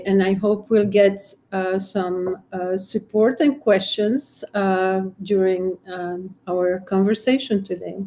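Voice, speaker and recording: Slow mellow-voiced woman physician. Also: medium pitch. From Democratic Republic of Congo. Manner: measured and gracious, with many fillers. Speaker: physician guest. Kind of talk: interview answers.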